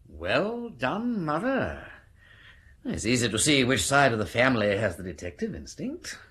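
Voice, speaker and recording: An actor playing a witty aristocratic English amateur detective in a British radio drama, brisk and theatrical as he reasons aloud. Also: medium pitch, huge pitch swings, fairly bright tone, breathy edge, exaggerated voice. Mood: intrigued. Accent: upper-class English.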